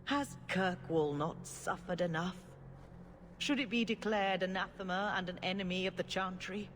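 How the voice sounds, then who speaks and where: A woman playing a solemn, cautious senior churchwoman in a fantasy video game, with formal, measured delivery. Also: lowish voice, a bit bright, a little hiss or hum, close to an everyday voice. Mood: grave warning.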